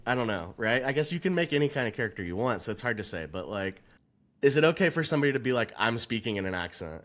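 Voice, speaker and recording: A man's voice: fairly low pitch, a bit bright. A man co-hosting a casual tabletop gaming discussion, a dungeon master who thinks aloud with plenty of fillers. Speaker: podcast co-host and dungeon master.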